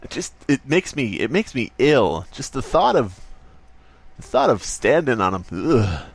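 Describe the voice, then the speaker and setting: A man's voice: medium pitch, very animated, a little slow, slightly rough, full character voice. A man playing an over-eager, rambling salesman obsessed with his product in a spoof radio commercial. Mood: rambling, wistful.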